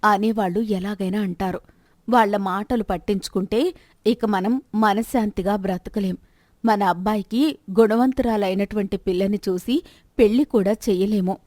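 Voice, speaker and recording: A woman's voice: medium pitch, moderate pace, a little mellow, no room sound, studio quality, natural voice. A woman voicing a persistent, practical middle-aged wife of a rich merchant in a moral story, chiding and persuading. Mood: chiding, persuasive.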